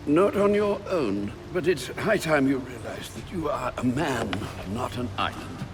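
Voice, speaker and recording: An actor as a slow-speaking elderly butler. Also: male voice, medium pitch, animated, over music, natural voice. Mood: earnest, firm. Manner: formal, measured counsel. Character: loyal, wise, elderly male butler, tender but firm. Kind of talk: video game cinematic acting.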